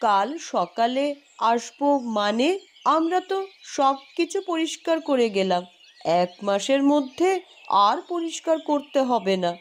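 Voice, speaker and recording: A woman's voice: sits high, expressive, unhurried, slight background noise. A woman voicing a cheeky, grumbling young village girl in a cartoon, emphatic and bold in her delivery. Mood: indignant, grumbling. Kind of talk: Bengali cartoon voice acting.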